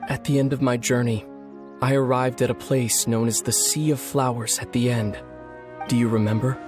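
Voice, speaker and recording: A man's voice: mid-range voice, steady tone, natural voice. Man playing a solemn young warrior over music. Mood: wistful. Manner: measured, earnest, melancholic. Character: solemn, weary young warrior, long-lost brother. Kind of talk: dramatic game cutscene acting.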